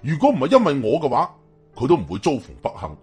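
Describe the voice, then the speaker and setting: A man's voice: fairly high pitch, wildly swooping pitch, quick pace, a little mellow, breathy edge, faint music behind. A man with a Hong Kong Cantonese accent telling a story in a colloquial, suspenseful, dramatic narration.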